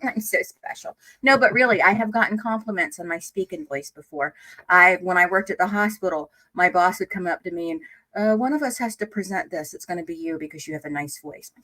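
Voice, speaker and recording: A woman co-hosting a casual reaction chat, talking freely with lots of "like" and quick laughs. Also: on the low side, pushed and bright, poor audio. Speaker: co-host reacting to a video.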